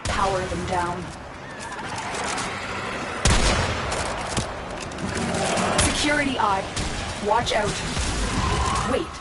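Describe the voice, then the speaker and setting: A woman acts a protective female commander guiding a video game mission. The voice sits at a middle pitch and gives composed but urgent instructions in an earnest tone. Music plays loudly behind it. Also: expressive, a little slow, close to an everyday voice. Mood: focused instruction. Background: loud game music.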